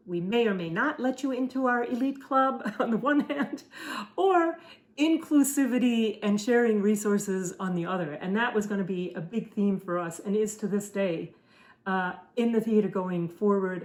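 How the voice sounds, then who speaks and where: A middle-aged woman whose first language is German, telling stories in a relaxed chat with many fillers and restarts. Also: mid-range voice. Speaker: podcast co-host. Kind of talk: casual conversational storytelling.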